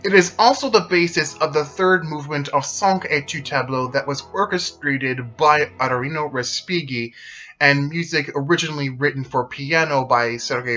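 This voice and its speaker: Male presenter, slightly high voice, steady scripted narration, soft music under it. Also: dull audio. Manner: steady explanatory retelling. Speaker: video presenter.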